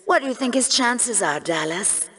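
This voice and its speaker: A woman's voice: on the high side, animated, moderate pace, a bit bright. A woman playing a sardonic, bloodthirsty co-host of a sci-fi sports show in a video game, bantering theatrically. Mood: eager commentary. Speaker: co-host, commentator.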